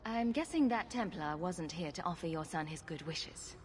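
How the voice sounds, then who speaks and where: An actress playing a calm, direct female adventurer hero with dry wit, in a game cutscene. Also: lowish voice, normal speed, a little hiss or hum, lightly acted.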